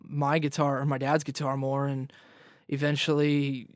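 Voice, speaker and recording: A man's voice: medium pitch, completely flat delivery, moderate pace, no echo, studio quality. A young man in casual interview chat as a guest musician, rambling, repeating words and laughing often.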